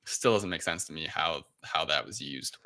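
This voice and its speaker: A man's voice: extremely low pitch, normal speed, sharp tone. A man co-hosting a casual film review chat, talking in a hesitant, rambling way. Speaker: podcast co-host reviewing films.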